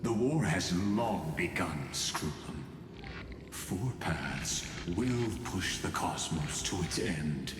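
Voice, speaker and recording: Very deep, slow, ominous scheming mastermind. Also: male voice. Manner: measured, ominous, philosophical. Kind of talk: dramatic video game dialogue. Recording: long echoing reverb, loud music behind.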